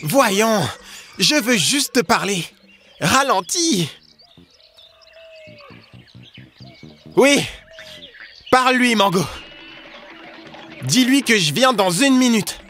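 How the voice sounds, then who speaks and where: Friendly young cartoon boy, high-pitched and bright, eager and earnest, explains things plainly. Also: lively intonation, slow pace, long pauses, exaggerated voice. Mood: urgent, pleading. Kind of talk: French cartoon dub for small children. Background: music.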